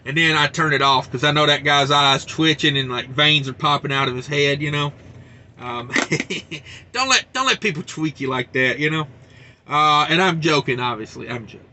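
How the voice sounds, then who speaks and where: A man's voice: on the high side, moderate pace, bright, forceful tone, a little hiss or hum. A man hosting a trucking news show, reading stories and rambling into joking asides. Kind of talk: casual news commentary.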